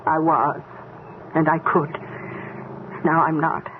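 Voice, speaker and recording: An actress playing a brisk, weary woman contact who is trapped abroad, in a 1970s radio drama. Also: deep voice, level tone, noisy background. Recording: narrow, phone-like old radio broadcast.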